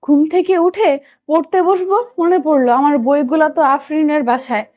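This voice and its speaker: A young woman reads a romantic story in a high voice. The recording is dry and close, and she tells it in the first person as an audio story narrator. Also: moderate pace, a little mellow, no room sound.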